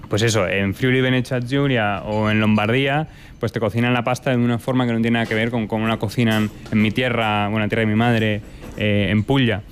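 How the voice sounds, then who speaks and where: A man from Italy and Spain who runs a restaurant, answering interview questions in a lively way and dropping in Italian food terms. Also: on the low side, a little fast, soft background music. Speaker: restaurant owner.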